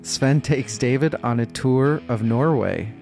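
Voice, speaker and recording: A man's voice: mid-range voice, measured pace, vocal fry, a little mellow, soft background music. A man hosting a podcast in a casual, chatty style. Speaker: podcast host.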